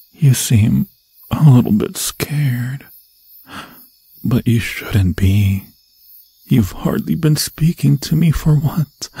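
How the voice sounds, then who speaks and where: Husky, whispery undead forest demon. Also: male voice, mid-range voice, warm tone, no room sound, exaggerated voice. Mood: tender, menacing. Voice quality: husky, breathy, often dropping to a whisper. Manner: slow, theatrical, intimate, crooning endearments in archaic formal phrasing. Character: obsessed undead forest demon sorcerer, seductive, possessive, menacing. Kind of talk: ASMR fantasy roleplay.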